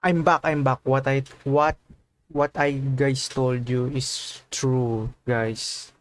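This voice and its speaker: A man's voice: medium pitch, little pitch movement, takes it slowly, no room sound. A man chatting casually on a gaming livestream, using informal slang and often repeating his phrases.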